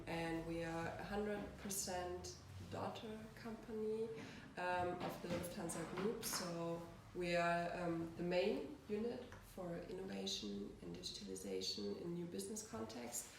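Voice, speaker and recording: A woman presenting her company to visiting students, halting with frequent fillers. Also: lowish voice, vocal fry. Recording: echoey room, far from the mic, background noise.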